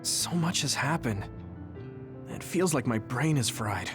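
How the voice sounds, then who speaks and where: An actor voicing an earnest, troubled young platoon hero in an English-dubbed anime game, speaking plainly. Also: male voice, mid-range voice, expressive, unhurried, lightly acted. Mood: pensive. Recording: game music behind the voice.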